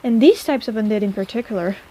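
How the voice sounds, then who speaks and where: A woman's voice: medium pitch, quick pace, warm tone, lightly acted, low-quality recording. A woman playing a sarcastic but caring apothecary in an intimate personal-attention audio roleplay, with a playful, chiding manner. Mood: teasing, flustered.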